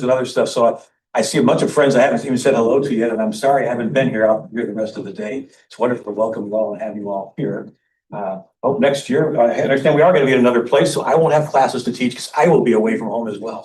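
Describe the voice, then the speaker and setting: Male session chair, mid-pitched voice, informal introductions, echoey room, distant mic. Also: darkish tone. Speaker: session chair introducing panelists at an academic conference. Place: conference room, recorded from a distance. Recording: roomy echo, distant mic, faint noise.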